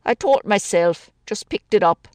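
A woman reading a story aloud, voicing a shy, gentle man who speaks in short, soft replies. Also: mid-range voice, animated, unhurried, no echo, pitched above the performer's own voice, close to an everyday voice.

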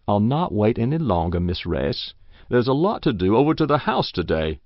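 A man's voice: lowish voice, sing-song, moderate pace, dry recording. A man voicing a thin, pale, confused old farmer who speaks in rustic speech. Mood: mild. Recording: dull lo-fi audiobook recording.

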